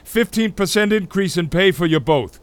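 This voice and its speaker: High-voiced, commanding timber chief, played by a man. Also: lively intonation, normal speed, clear, resonant tone, no room sound. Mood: businesslike. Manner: curt, authoritative. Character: brusque, commanding 1890s timber company chief. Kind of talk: acted story drama.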